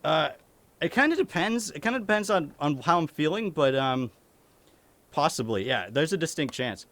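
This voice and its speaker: A man's voice: fairly high pitch, expressive, moderate pace, fairly bright tone. Giggly young man with a light Maine accent. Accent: light Maine (New England). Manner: chuckles often, swears casually, restarts sentences. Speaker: streamer. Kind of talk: casual Q&A reading chat.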